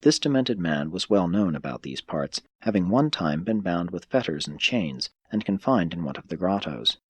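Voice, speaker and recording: Deep, husky male audiobook narrator. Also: very animated, normal speed, no room sound. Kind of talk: scripted narration of a religious text.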